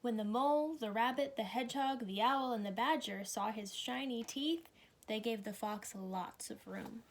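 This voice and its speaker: High-voiced woman reading a children's story. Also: moderate pace. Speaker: storybook reader. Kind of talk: children's story read aloud.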